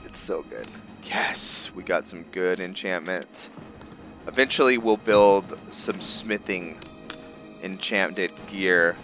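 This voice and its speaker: A man's voice: low-pitched, slow, fairly bright tone, soft background music. A man giving casual, chatty gameplay commentary as a video host, thinking aloud with enthusiasm.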